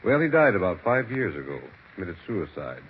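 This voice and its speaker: An actor playing a discreet family attorney in a 1940s radio mystery, deliberate and matter-of-fact. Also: male voice, deep voice, big pitch swings. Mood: somber, recounting. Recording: tinny, faintly noisy 1940s radio recording.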